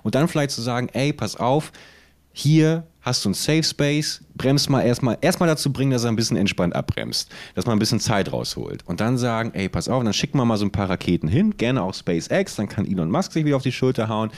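Guy cohosting a comedy podcast, really lively pitch, rambly slangy mock outrage. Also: fairly quick. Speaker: podcast co-host. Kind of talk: casual comedic podcast banter.

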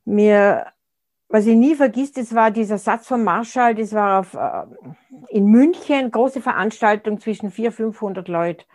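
A woman's voice: on the high side, moderate pace, darkish tone. Thoughtful woman in her sixties. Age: 60s. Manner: thoughtful, searching for words with frequent restarts. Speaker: interview guest. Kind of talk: reflective conversational interview.

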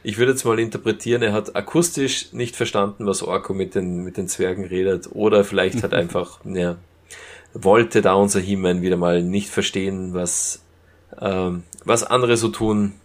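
Creaky-voiced man chatting. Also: low-pitched, fairly even intonation. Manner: halting retelling with acted-out quotes. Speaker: podcast co-host reviewing audio dramas. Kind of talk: casual review chat.

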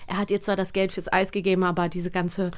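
A woman's voice: fairly low pitch, flat delivery, fast talker. A German woman hosting a podcast, chatting casually and laughing often as she retells a story. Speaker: podcast host reviewing radio plays. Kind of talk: casual chat.

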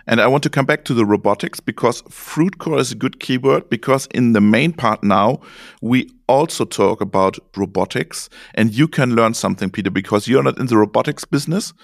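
Male co-host, gravelly, brief and upbeat, dry recording. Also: mid-range voice, brisk, no room sound. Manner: short affirmations, repeats "very interesting". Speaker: podcast co-host. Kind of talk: casual chat.

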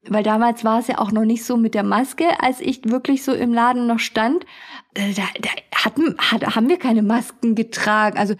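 A young adult woman telling funny stories as a podcast host, chatty and often laughing. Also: fairly high pitch. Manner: chatty, laughs often, acts out customers' voices. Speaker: podcast host, beauty studio owner. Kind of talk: casual storytelling podcast.

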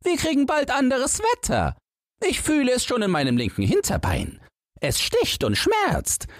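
A man voicing a hoarse old chained dog, a gruff know-it-all who barks and lectures in a storybook tale. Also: huge pitch swings, a little slow, no echo, studio quality, full character voice. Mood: lecturing, scornful. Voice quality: hoarse, strained high barking voice. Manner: barking, curt, lecturing.

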